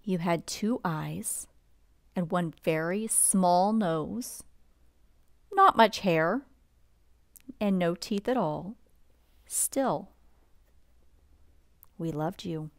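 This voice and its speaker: A woman reading a bedtime picture book aloud in a gentle, expressive, unhurried storybook manner. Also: lowish voice, dry recording, studio quality.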